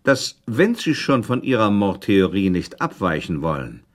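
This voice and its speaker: An actor playing a proud prince under accusation in a radio play, speaking formally and deliberately with cool irony. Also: male voice, on the low side, very animated. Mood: cool, ironic. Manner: formal, deliberate, sardonic, questioning. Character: proud male prince accused of murder, guarded, coolly ironic. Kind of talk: German radio play acting, period dialogue.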